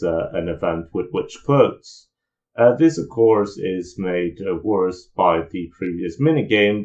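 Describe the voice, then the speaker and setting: A man delivers review commentary in a low voice that moves up and down in a lively way. He hesitates often, filling pauses as he goes. The recording is dull and lo-fi. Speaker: video game reviewer.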